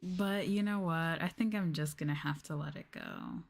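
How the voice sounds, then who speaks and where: A woman streamer chatting casually in a soft-spoken ASMR style, rambling and swearing as she goes. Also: low voice, huge pitch swings, normal speed, vocal fry. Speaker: VTuber streamer.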